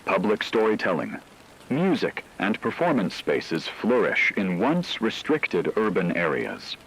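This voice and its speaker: A man playing a formal, measured radio news anchor reading scripted reports in a solemn manner. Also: on the low side, very animated, fairly bright tone, slightly rough, heavily acted, poor audio. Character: grave male radio news anchor in a dystopian broadcast.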